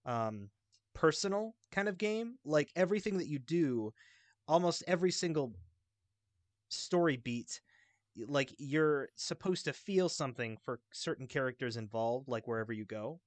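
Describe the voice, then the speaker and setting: A man's voice: fairly high pitch, lively intonation, normal speed, dry recording. A man on a podcast panel, explaining his opinions about games in an informal, rambling way. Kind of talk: casual video game discussion.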